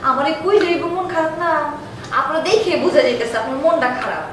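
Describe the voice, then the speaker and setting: An actress playing a chatty, nosy, caring housemaid in a TV drama, speaking colloquial Bangladeshi Bengali dialect in a familiar, rustic way. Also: female voice, high-pitched, lively intonation, fairly quick, crowd noise. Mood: nosy, concerned. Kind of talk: TV drama dialogue. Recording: echoey, distant mic, clear background noise.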